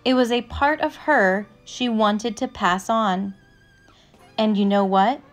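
A woman narrator reading a children's picture book aloud from a script, with measured, careful phrasing. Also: on the high side. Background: instrumental music.

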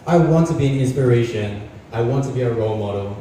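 A man in his twenties from Australia, a pageant contestant giving a confident, measured self-introduction on stage. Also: medium pitch, warm tone, a little hiss or hum. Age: 20s. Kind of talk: prepared self-introduction speech. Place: large indoor hall stage. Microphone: handheld mic, filmed from far. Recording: echoey hall from far off.

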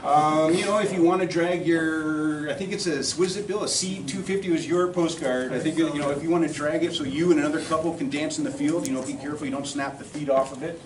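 Halting middle-aged man, echoey room. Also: a little high, fairly even intonation. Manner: halting, rambling. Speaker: co-presenter at a society meeting. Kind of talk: informal, loosely scripted talk. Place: classroom or lecture room, indoors. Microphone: far camera. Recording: echoey, distant, noisy camera recording.

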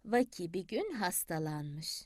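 Woman voicing a soft old grandmother hen. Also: lowish voice, sing-song, slow, no echo, studio quality, pitched below the performer's own voice, heavily acted. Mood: hushed storytelling.

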